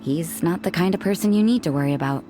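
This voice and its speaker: A woman's voice: on the low side, fast, noisy background, close to an everyday voice. A woman voicing an aloof, laid-back young woman in a video game, speaking in a languid, dry, matter-of-fact way. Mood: calm, composed. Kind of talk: video game character dialogue.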